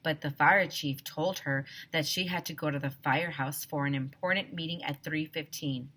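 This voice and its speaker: Lady doing a puzzled school principal guy from a kids book, hesitant, bright kinda husky voice. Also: low-pitched, steady tone, normal speed. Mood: matter-of-fact. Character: puzzled, mild-mannered male school principal in a storybook.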